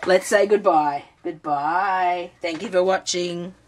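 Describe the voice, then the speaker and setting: A hoarse woman whose voice is nearly gone, pitched a little low, trading dry, teasing remarks in a casual sign-off. A faint hiss sits under her voice. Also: slow. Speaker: craft video host.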